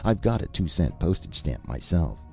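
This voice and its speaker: A man voicing an earnest, slow-talking rustic detective with a drawling country manner in a storybook reading. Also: low voice, big pitch swings, gravelly, voice pushed up, full character voice. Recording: lo-fi with faint music.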